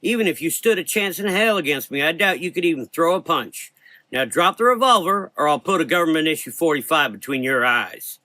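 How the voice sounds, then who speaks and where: A man's voice: a little high, lively intonation, fairly quick, bright, forceful tone, dry recording, voice pushed up, low-quality recording. A man voicing a tough, armed young woman who mocks and commands in a gothic horror audio drama. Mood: mocking, threatening. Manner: mocking, commanding.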